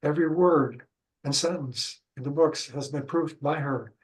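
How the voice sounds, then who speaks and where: An older man with a Midwestern American accent, a local historian giving a prepared talk in a measured, careful way. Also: on the high side, poor audio. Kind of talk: reading a prepared lecture.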